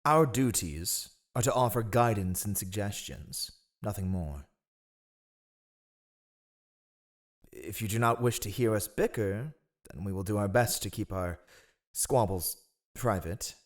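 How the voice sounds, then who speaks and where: A man's voice: lowish voice, expressive, unhurried. Haughty, swooping spy master, acted by a man. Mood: conciliatory. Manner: sardonic, theatrical, sighing disdain. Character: scheming, haughty male spy master and royal advisor. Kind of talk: fantasy audio roleplay acting.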